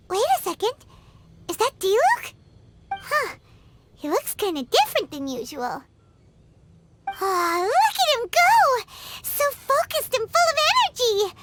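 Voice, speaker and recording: A woman voicing a tiny, chirpy, excitable female fairy companion in a video game, with a squeaky childlike voice and expressive, exclamatory delivery. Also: heavy background noise, full character voice. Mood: amazed, excited. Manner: refers to self in third person, exclamatory, expressive. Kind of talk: video game character dialogue. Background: game music.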